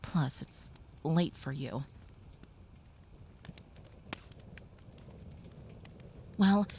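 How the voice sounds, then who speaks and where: A woman voicing a gentle young female vampire who soothes a human listener, speaking softly and often in a whisper, in an audio roleplay. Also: low-pitched, slow pace, vocal fry, a little hiss or hum, heavily acted. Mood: soothing.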